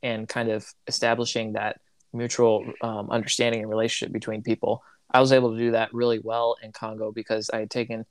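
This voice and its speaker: A man's voice: on the low side, monotone, a little fast, smooth, no echo. A man who grew up in Tanzania, a missionary, telling a story in casual conversation and often restarting his sentences. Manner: rambling, self-correcting, reflective.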